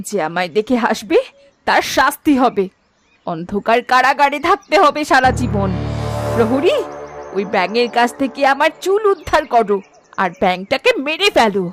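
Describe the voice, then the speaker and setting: Wicked fairy tale queen voiced by a woman, haughty and commanding, animated high register, music underneath. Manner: imperious, commanding. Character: vain, cruel, haughty scheming queen. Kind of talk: Bengali fairy tale cartoon.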